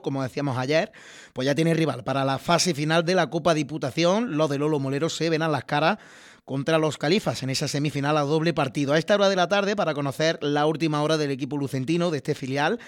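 A man's voice: on the high side, no echo, clean studio sound. Man hosting sports radio. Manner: steady, informative delivery. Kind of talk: local sports radio presenting. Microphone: studio microphone.

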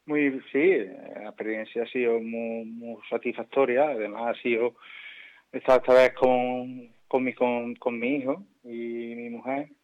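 A man's voice: medium pitch, fairly even intonation, unhurried. Modest cyclist in his fifties on the phone. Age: 50s. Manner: modest, hesitant, with restarts. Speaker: cyclist guest. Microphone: phone line. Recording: muffled phone line.

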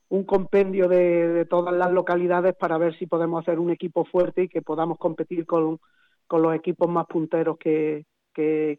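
A man, a football coach speaking by phone, hesitant and repeating words. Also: on the high side. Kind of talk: phone interview. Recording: tinny phone line.

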